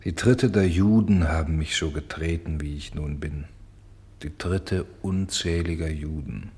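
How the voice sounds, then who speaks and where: Old weathered kerbstone for radio play: low-voiced, unhurried, humble, pensive, hushed. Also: male voice. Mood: wistful, hushed. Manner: measured, hushed, dropping to whispers. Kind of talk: literary radio play monologue.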